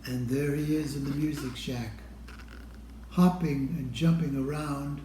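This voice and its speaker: Slow-reading man, mellow, in an echoey room. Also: medium pitch, fairly even intonation, a little hiss or hum. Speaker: storybook reader. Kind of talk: expressive children's picture book read-aloud.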